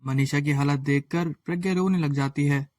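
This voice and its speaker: A man's voice: fairly high pitch, fairly even intonation, normal speed, a little mellow, dry recording. A man narrating a scripted moral story as a storyteller. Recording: faint background music.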